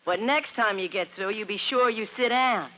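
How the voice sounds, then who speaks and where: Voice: medium pitch, normal speed, bright, forceful tone, lightly acted. An actor playing an exasperated, officious schoolboy chairing a meeting in an old radio drama. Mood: sarcastic. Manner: officious, sarcastic. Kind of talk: 1950s radio drama acting. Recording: muffled old radio recording, faint noise.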